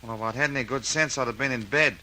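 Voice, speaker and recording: An actor playing an offhand, wisecracking factory worker in an old radio drama. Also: male voice, mid-range voice, quick pace, pushed and bright, slight background noise. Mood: wry, offhand. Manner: offhand, wry. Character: grudging, wisecracking male factory worker Joe.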